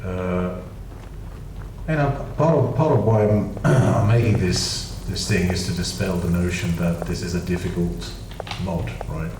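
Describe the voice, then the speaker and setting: A man's voice: sits low, a little slow. A man with a British accent giving casual, rambling gameplay commentary with dry, self-mocking asides. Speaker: gaming channel host. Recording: echoey room, distant mic, noisy.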